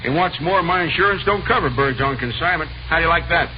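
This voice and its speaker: A man's voice: a little high, fast, dull audio, close to an everyday voice. Man acting a cranky, folksy American shopkeeper, noisy old recording. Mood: indignant. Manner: folksy, grumbling, emphatic. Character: cranky, grumbling older male pet shop owner. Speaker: pet shop owner. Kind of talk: 1950s radio drama acting.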